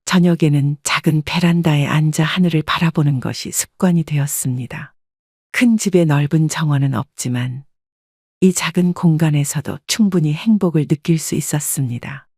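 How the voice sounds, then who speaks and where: A woman calmly narrating a first-person life story. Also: low voice, normal speed, slightly rough, no room sound, clean studio sound.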